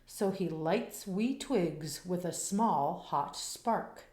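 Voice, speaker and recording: A woman reading a children's picture book aloud in a slow, expressive, rhythmic way, after a casual spoken intro. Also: lowish voice, reverberant.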